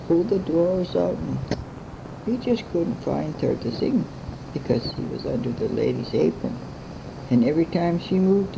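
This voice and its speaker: A woman's voice: on the low side, vocal fry, lo-fi sound. An elderly grandmother telling bedtime stories informally, in simple, repetitive, homespun phrasing. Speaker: grandmother storyteller. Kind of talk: informal oral bedtime storytelling. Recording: noisy amateur home recording.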